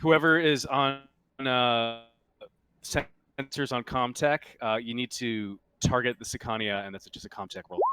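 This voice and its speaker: A man's voice: medium pitch, fairly even intonation, measured pace, bright, forceful tone. A man acting as game master, narrating casually and prompting players through their turns and rules.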